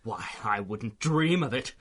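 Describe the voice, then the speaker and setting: An actor playing a gleeful older supervillain, mocking and mock-genteel, in a 1930s-style radio drama. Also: male voice, medium pitch, lively intonation, measured pace, a bit bright. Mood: mocking menace. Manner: theatrical, condescending, mock-genteel.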